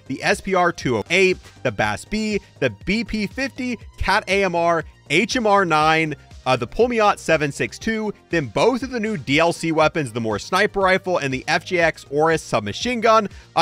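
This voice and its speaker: A man narrates a game review in a casual, matter-of-fact way. His voice sits a little high, and music plays quietly behind him. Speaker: gaming video creator.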